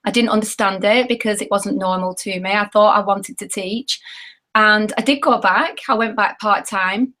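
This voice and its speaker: A British woman in her thirties speaks with a hoarse voice that is nearly gone. She tells her story in a chatty, candid way at a middling pitch. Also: normal speed, pushed and bright. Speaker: network marketing seller sharing her story.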